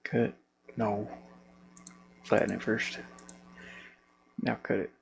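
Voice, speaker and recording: A man talks to himself casually while working at a computer, in short muttered fragments. His delivery is slow, with a lot of gravelly fry in a mid-pitched voice. The recording has clear background noise, with hum and clicking. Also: little pitch movement. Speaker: game developer narrating own work.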